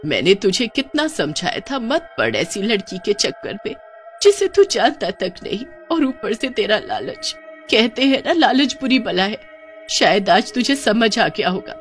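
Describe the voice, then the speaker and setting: Woman as an earnest, expressive cartoon mother. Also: medium pitch, unhurried, soft background music. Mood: reproachful, emotional. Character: worried, admonishing middle-aged mother of grown son. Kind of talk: cartoon story character voice.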